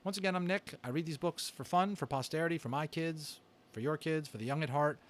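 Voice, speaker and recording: A man narrating a children's book as an amateur reader, in a dramatic, deliberate storytelling style. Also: a little high.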